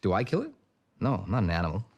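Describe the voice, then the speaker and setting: An actor playing an arrogant, flippant champion racing driver in a mock-documentary game scene, sneering and scornful. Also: male voice, lowish voice, wildly swooping pitch, racing, a little mellow, highs cut off, exaggerated voice.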